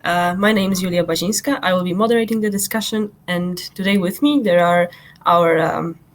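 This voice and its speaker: A woman's voice: lowish voice, steady tone, a little fast. A woman moderating an online panel, polite and slightly hesitant, with frequent fillers, on a video call.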